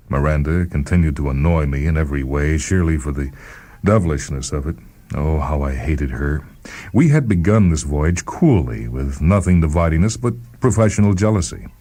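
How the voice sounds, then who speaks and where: A very deep-voiced man narrates a short story in the first person. His tone is dark and mellow, and his delivery is measured and deliberate, as in dramatic scripted storytelling.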